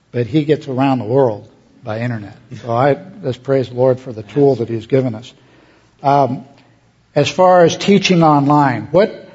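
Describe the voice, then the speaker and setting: Slightly husky male panel host. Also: mid-range voice, a little mellow. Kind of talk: informal live moderating. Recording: slightly dull, muffled live recording.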